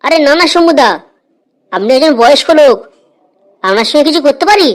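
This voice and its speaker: A woman's voice: high voice, animated, fairly quick. A woman voicing a cheeky street food vendor in a comedy cartoon, hawking and needling. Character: cheeky paratha vendor haggling with customers.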